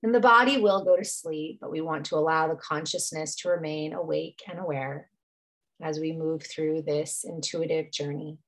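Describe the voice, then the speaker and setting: A woman guiding a meditation as a yoga nidra teacher, soothing and unhurried, leaving long pauses between phrases. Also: low voice, poor audio. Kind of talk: guided meditation.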